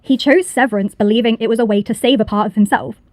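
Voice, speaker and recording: A woman narrating a scripted video essay in a measured, explanatory way. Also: a little high, fairly even intonation, a little mellow, dry recording.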